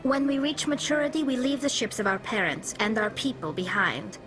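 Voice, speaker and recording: A woman's voice: on the high side, expressive, moderate pace, close to an everyday voice. A woman voicing a young alien pilgrim in a video game, earnest and determined as she explains herself. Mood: earnest, explaining. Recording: music under the voice.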